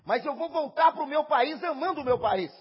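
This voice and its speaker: High-voiced Brazilian man preaching. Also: expressive, highs cut off. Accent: Brazilian Portuguese. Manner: preaches in short phrases, pausing for the interpreter, rising to shouts. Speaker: visiting preacher. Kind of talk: sermon with live interpretation.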